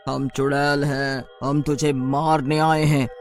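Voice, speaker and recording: A performer voicing a gleeful, menacing female witch in a horror storybook tale, with drawn-out, gloating delivery and a cackling edge. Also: mid-range voice, little pitch movement, soft background music. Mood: gloating menace.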